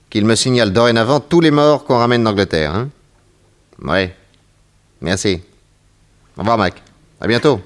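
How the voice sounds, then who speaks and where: An actor playing a cool, shrewd private detective, offhand and assured, in a 1960s French radio crime drama. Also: male voice, on the low side, natural voice.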